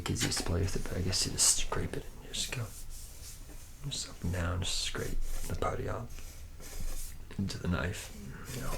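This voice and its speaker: A man's voice: low voice, vocal fry, noisy background. A man playing a laid-back, boozy womanizing factory worker in an ASMR roleplay, talking in a casual, slangy ramble. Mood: rambling, laid-back.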